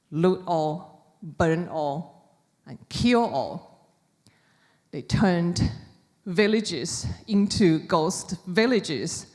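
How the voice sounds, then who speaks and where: A woman from China with a Chinese accent gives a prepared public talk as an author, speaking deliberately in short, measured phrases. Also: fairly low pitch. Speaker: author giving a book talk. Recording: reverberant hall with long echo.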